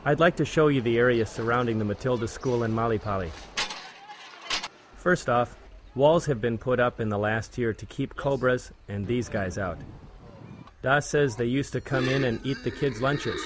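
A man, a church volunteer, reading a plain, measured documentary voice-over about a mission trip. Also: mid-range voice, background noise. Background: background music.

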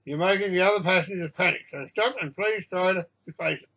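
A man's voice: high voice, fast, pushed and bright, full character voice, low-quality recording. A man voicing an exasperated cat owner in a story, scolding panicking passengers in a weary, plain-spoken way.

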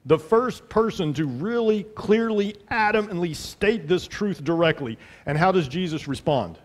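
A man's voice: high-pitched, lively intonation, a little slow. A middle-aged man preaching a sermon from a pulpit in a church, earnest and emphatic, repeating phrases for weight, on a headset mic. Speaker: pastor. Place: large church sanctuary, at a pulpit. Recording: long hall reverb.

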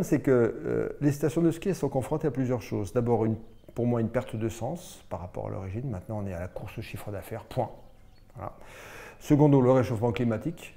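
A man's voice: medium pitch, warm tone. An older man explaining things in an interview, conversational and emphatic, making his case point by point. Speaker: mountain association president. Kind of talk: documentary interview, explaining informally. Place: indoors, small office with windows.

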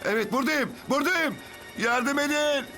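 A man's voice: very high voice, normal speed, sharp tone, exaggerated voice. A man voicing a hapless lost fellow, plaintive and muttering to himself, in a children's cartoon dub. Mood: desperate. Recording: music bed under the voice.

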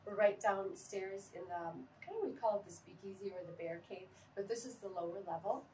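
A woman's voice: medium pitch, fairly quick, darkish tone. A middle-aged woman, a brewery owner, talking easily on camera with plenty of fillers in an indoor taproom. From Minnesota. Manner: upbeat, easygoing, with frequent fillers. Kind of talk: promotional on-camera talk. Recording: slightly distant camera mic, faint room noise.

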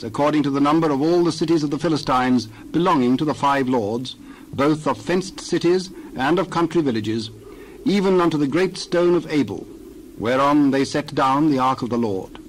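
Man, scripture narrator, formal steady reading, faint background noise. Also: fairly high pitch, low-quality recording. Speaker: Bible reader.

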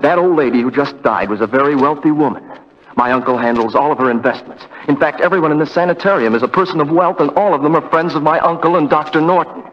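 An actor playing a highly nervous young man, anxious and urgent, in 1940s radio drama style. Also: fast talker, close to an everyday voice. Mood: agitated, pleading. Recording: dull, noisy, echoing 1940 radio recording.

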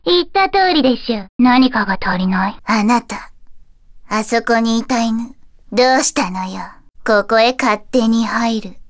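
A woman playing an eerie, intense fortune-telling schoolgirl in a Japanese video game, lovestruck and menacing, ominous in delivery. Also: expressive. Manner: slow, ominous delivery with whispery drops and sudden shrill outbursts.

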